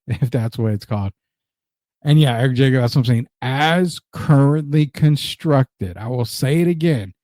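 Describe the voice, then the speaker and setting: A man's voice: mid-range voice, dark tone, no echo. A man from Rico, Spanish speaker, hosting a casual sports talk show and driving his points home by repeating them. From Puerto Rico. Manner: emphatic, repeats his points, reads out viewer comments.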